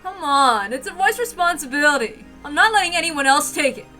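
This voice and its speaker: Woman as a grand, high-voiced lady announcer. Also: lively intonation, fairly quick, sharp tone, soft background music. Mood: insistent. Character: grand, theatrical female mistress of ceremonies. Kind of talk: visual novel read-aloud character acting.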